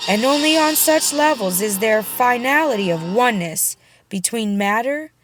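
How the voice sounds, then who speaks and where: A woman reads a religious book aloud as an audiobook narrator, solemn and measured, pausing deliberately between phrases. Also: on the high side, animated, music in the background. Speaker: audiobook reader. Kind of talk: scripted reading of a religious book.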